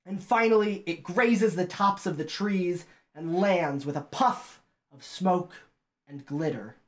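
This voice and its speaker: A woman narrating a tabletop game as game master, animated and often laughing. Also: lowish voice, takes it slowly.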